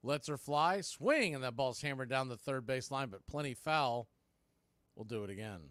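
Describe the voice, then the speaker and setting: A man's voice: medium pitch, lively intonation, moderate pace, a bit bright, no room sound. A man doing live baseball play-by-play in clipped phrases with conversational asides. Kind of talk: live sports commentary.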